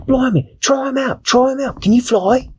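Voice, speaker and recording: A man doing a high, excitable sailor shouting blimey. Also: moderate pace, slight background noise. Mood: excited. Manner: exclaims with a British-style blimey. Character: excitable male sailor crew member.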